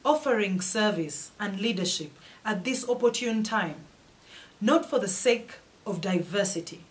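Measured Ugandan woman giving a formal speech. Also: mid-range voice, expressive. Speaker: political candidate. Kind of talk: prepared campaign speech. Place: indoors, in front of flags. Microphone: fixed camera, head and shoulders.

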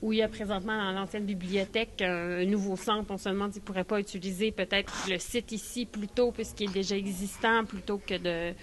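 A woman's voice: mid-range voice, steady tone, brisk, hardly any pauses, slight background noise. A woman in her thirties with a Québécois accent, a parent talking animatedly in a vox pop. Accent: Québécois French. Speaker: parent of a young child. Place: indoors, church hall before a mural.

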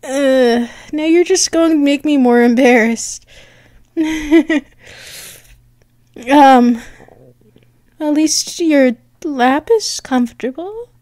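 A woman performing an intimate ASMR roleplay, bashful, halting and softly affectionate, close to the microphone. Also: sits high, no room sound.

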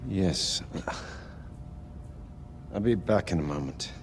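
A man playing a troubled middle-aged scientist in a video game drama, speaking softly and gravely. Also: deep voice, expressive, slow, a little hiss or hum, full character voice. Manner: soft, grave, apologetic.

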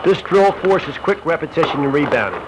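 Higher-voiced man narrating, noisy old film. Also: very animated, fairly quick. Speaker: basketball shooting instructor, narrator. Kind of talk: scripted instructional film narration.

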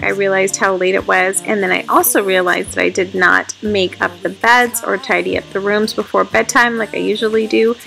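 Chatty woman vlogger with a gravelly edge. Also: medium pitch, fairly bright tone, rough recording. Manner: chatty and upbeat, addresses viewers directly. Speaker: home vlogger. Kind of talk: casual vlog narration. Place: family home, bedrooms and kitchen.

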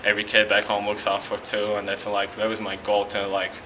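A young man from Latvia with a Latvian accent, a college hockey player giving a halting post-game interview full of fillers and restarts in an arena hallway. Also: fairly low pitch, completely flat delivery, noisy background. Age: young adult.

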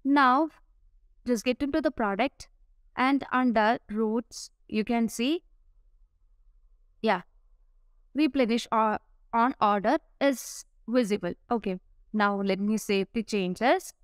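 A woman narrating a step-by-step software tutorial over a screen recording, pausing often with "uh" fillers. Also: fairly high pitch, smooth, no echo, clean studio sound. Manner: explains step by step with frequent "uh" fillers.

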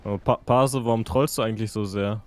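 A man's voice: lowish voice, fairly even intonation, a little fast, soft background music. A young man chatting casually and teasingly with a friend while playing a video game. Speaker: gamer, video commentator. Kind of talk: casual gaming chat with a friend.

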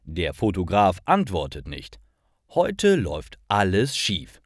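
A man reading stories for language learners in a careful, clearly articulated and expressive way. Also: deep voice, slow, no room sound, clean studio sound. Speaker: narrator of stories for German learners.

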